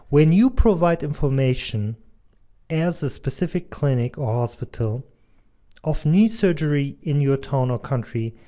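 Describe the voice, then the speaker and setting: Mellow-voiced German man presenting. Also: mid-range voice. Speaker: digital advertising consultant and doctor. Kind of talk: scripted presentation voice-over.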